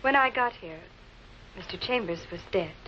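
A woman's voice: mid-range voice, expressive, moderate pace, pushed and bright, slight background noise. An actress with an American accent playing a poised woman in a 1940s television murder mystery. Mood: guarded, explaining. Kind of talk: 1940s American television crime drama acting. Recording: muffled old film soundtrack with music.

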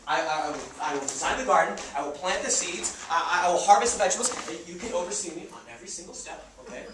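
An actor playing a young, idealistic, broke farmer on stage, pleading and stammering in slangy, insistent speech. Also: male voice, high-pitched, fast, crowd noise, lightly acted. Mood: eager pleading. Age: young adult. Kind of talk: stage play acting. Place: indoor theater stage. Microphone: far camera. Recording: echoey theater stage, distant noisy camera mic.

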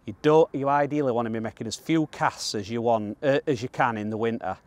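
A man's voice: medium pitch, brisk, a little mellow, no echo. Northern English man giving angling tips. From northern England. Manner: plain-spoken, colloquial, matter-of-fact. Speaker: angler presenting a fishing guide. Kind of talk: casual instructional commentary while fishing. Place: outdoors on the bank of a pond.

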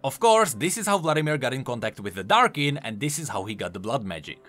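A man's voice: medium pitch, big pitch swings, quick pace, a bit bright. A man giving enthusiastic, emphatic explanatory commentary on video game lore. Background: faint music.

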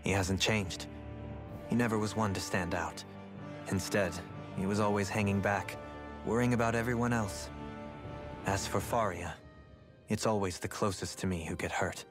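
An actor playing a guilt-ridden, gentlemanly young noble with formal, measured, grave diction in a dramatic video game. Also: male voice, fairly low pitch, fairly even intonation, music in the background, natural voice. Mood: grave, remorseful.

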